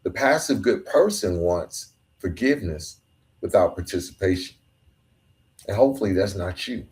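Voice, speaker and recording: A man's voice: deep voice, expressive, moderate pace, darkish tone. A man hosting a podcast, giving an earnest, emphatic solo talk in a colloquial way, sometimes stumbling over his words. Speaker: podcast host. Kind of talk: solo motivational monologue.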